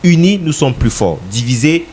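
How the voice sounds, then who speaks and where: A man's voice: a little high, lively intonation, moderate pace, darkish tone, slight background noise. A man from Cameroon, a political party representative answering radio interview questions in an emphatic, insistent way, sometimes repeating words as he searches for them.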